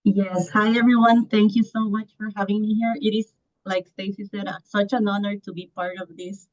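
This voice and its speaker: A woman's voice: medium pitch, fairly even intonation, normal speed, darkish tone. A woman from Costa Rica in her thirties, a startup founder answering on a panel in an earnest way with many restarts. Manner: earnest, lots of restarts and fillers. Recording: muffled lo-fi audio.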